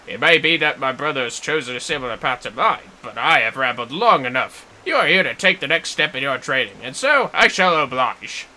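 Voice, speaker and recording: A man's voice: fairly high pitch, pushed and bright, a little hiss or hum, pitched above the performer's own voice, heavily acted, rough recording. A man voicing an earnest, wordy warrior mentor in a fantasy game, with a theatrical, formal storytelling delivery. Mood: wistful, then solemn, instructive. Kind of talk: fantasy game quest dialogue read aloud.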